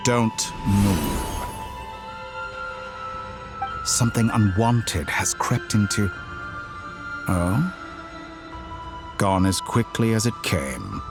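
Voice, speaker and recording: An actor playing an urbane, condescending video game villain with a formal, theatrical, smugly polite delivery. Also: male voice, lowish voice, sing-song, slow pace, long pauses, loud music behind the voice, exaggerated voice. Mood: watchful. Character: urbane, condescending male villain with godlike powers.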